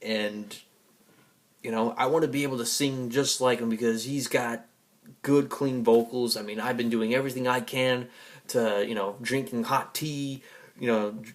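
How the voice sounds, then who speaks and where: A man's voice: medium pitch, steady tone, moderate pace. A man giving a rambling personal monologue to an audience in an emphatic, defiant, profanity-laced way. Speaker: vlogger and music fan.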